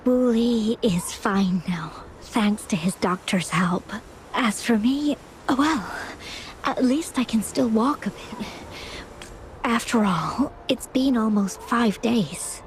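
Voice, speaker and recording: A woman playing a frail, gentle woman painter in a video game, speaking softly and haltingly with breath in her voice. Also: a little high, slight background noise, low-quality recording. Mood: gentle, grateful. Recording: music behind the voice.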